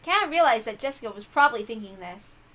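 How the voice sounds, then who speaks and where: A woman reading a scripted story aloud as an expressive narrator. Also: fairly high pitch, quick pace. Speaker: reader.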